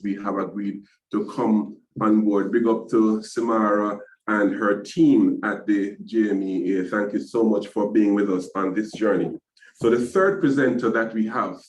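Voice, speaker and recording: A man with a fairly low voice moderates an online panel over a video call. He stumbles, restarts his phrases and chuckles between introductions. The sound is roomy and echoey. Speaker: webinar host, business agency executive.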